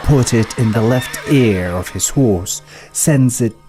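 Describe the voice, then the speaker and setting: A man narrating a folk epic as scripted storytelling, in a measured, solemn manner. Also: mid-range voice. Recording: voice-over with loud music behind. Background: loud music.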